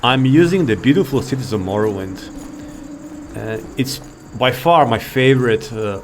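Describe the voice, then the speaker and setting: A man's voice: medium pitch, expressive, moderate pace, noisy background. A man giving casual, rambling gameplay commentary, laid-back and thinking aloud. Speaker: gaming video creator.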